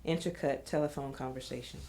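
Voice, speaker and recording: A woman's voice: extremely low pitch, fairly even intonation, fairly quick, warm tone. A woman narrating an audio drama, reading screenplay stage directions in a scripted style.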